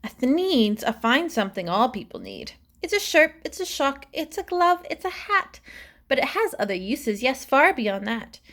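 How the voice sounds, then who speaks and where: A woman's voice: high-pitched, wildly swooping pitch, normal speed, fairly bright tone, exaggerated voice. A woman voicing a greedy, boastful male entrepreneur in a rhyming storybook, breezy and self-assured like a salesman. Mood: defensive, salesman-like. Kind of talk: rhyming storybook character narration.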